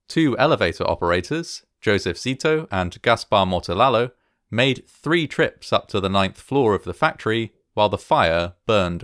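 A man with a fairly low voice narrates a documentary from a script. His delivery is measured, formal and solemn. The sound is dry and close, with little room in it. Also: fairly bright tone, no room sound.

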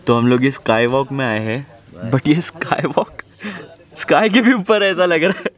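A young man with an Indian accent narrating a casual travel vlog, chatty and excited, mixing English words into his talk. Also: on the high side, moderate pace. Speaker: travel vlogger. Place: mountain cable cars and summit restaurant, busy with people. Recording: loud crowd chatter around.

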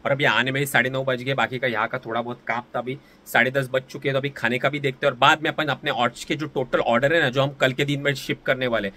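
Young male vlogger, mid-pitched, chatty phone-at-arm's-length selfie talk. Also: steady tone, fast talker, hardly any pauses, pushed and bright. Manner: chatty, says "bhai" constantly. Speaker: vlogger and online seller. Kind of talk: casual vlog talk to camera. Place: small indoor office room, also outdoors on a street at night. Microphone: phone held at arm's length.

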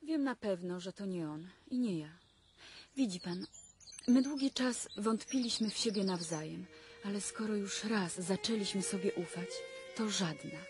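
A woman's voice: lowish voice, a little mellow. A woman acting in a 1990s Polish radio drama as a confident young well-born lady, cool, firm and resolute. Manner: firm, self-assured.

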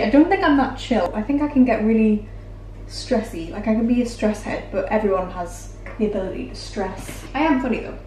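A woman's voice: medium pitch, a little mellow. British woman chatting in a roomy kitchen. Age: 20s. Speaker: vlogger answering viewer assumptions while baking. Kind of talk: casual chatty vlog to camera. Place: home kitchen, indoors. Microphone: camera mic at a short distance. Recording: roomy, distant mic, faint background noise.

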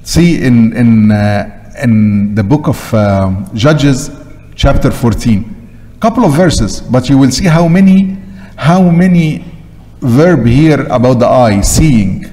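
A man's voice: mid-range voice, lively intonation, slow, dark tone. An older man with an Egyptian Arabic accent, a priest giving an emphatic sermon that repeats short phrases for weight. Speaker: Coptic Orthodox priest. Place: large church interior, at the pulpit. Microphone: gooseneck pulpit microphone. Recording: long church reverb.

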